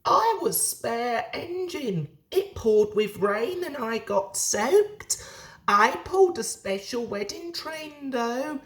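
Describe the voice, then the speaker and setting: A woman's voice: a little high, expressive, slow pace, pitched above the performer's own voice. A woman voicing a proud, chatty male steam engine telling of adventures in a children's storybook. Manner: boastful, dramatic storytelling.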